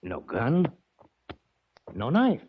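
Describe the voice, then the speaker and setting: An actor playing a tough, curt bodyguard who gives orders and wisecracks in a 1940s radio drama. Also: male voice, medium pitch, wildly swooping pitch, drawn-out words, exaggerated voice. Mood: watchful, gruff. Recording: muffled, slightly noisy old radio recording.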